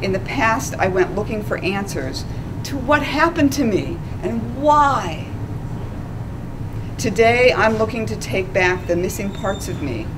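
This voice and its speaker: A woman in her sixties reading her own essay aloud at a live event, slow and measured. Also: medium pitch, noisy background. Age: 60s. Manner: slow, deliberate, measured delivery. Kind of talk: literary reading. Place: small stage in a dark club.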